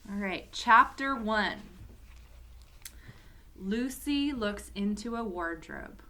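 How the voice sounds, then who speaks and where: Lady reading a kids book out loud, medium pitch voice, expressive, storyteller talking to little ones. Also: slow pace. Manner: expressive, addresses young listeners directly. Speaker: storyteller reading to church children. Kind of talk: children's storybook read-aloud.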